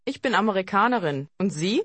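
A woman slowly modeling phrases for learners to repeat in a course recording. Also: mid-range voice, expressive, a bit bright, slightly rough, no room sound. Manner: slow, carefully articulated. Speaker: native model speaker. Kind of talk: slow drill repetition. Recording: dry studio.

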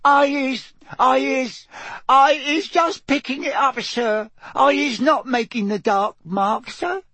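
Man putting on a squeaky, frantic little female house-elf. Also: slow pace, sharp tone, dry recording, exaggerated voice. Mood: pleading, tearful. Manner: stammering, broken grammar, tearful. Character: terrified female house-elf servant, small and pleading.

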